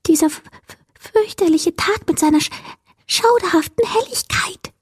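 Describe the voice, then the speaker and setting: A woman's voice: very high-pitched, normal speed, no echo, clean studio sound, pitched above the performer's own voice. A woman voicing a small, skittish will-o'-the-wisp in a bedtime story, stammering when scared and gushing when happy. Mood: trembling. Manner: stammering, trembling at first, then gushing. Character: timid, then giddy little will-o'-the-wisp.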